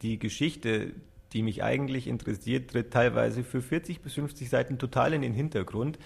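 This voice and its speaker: A man's voice: medium pitch, moderate pace, vocal fry, husky. A man giving a considered, mildly critical interview opinion, choosing his words carefully.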